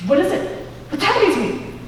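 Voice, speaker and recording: Flustered American woman, played by a teen girl; high, swooping voice, comic stage play in echoey hall. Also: big pitch swings, brisk. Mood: panicked, bewildered. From United States. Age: teens. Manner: agitated, incredulous. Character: flustered, exasperated woman having a baffling day. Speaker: student stage actor. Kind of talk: one-act stage comedy acting. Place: school auditorium stage. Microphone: distant camera microphone. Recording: echoey auditorium recorded from far off, hiss.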